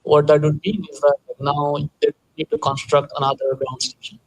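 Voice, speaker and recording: A man's voice: a little high, flat delivery, moderate pace, poor audio. A young man from Nepal, an engineer speaking as a panel guest, explaining casually with many fillers. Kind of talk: panel Q&A answers.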